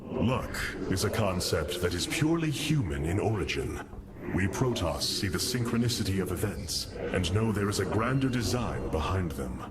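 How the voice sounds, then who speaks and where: An actor playing a noble, resolute alien warrior leader in a video game, speaking in formal, grave, measured oratory. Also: male voice, low-pitched, sing-song, heavy background noise. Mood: solemn. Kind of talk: video game cinematic acting. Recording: loud music over game cinematic.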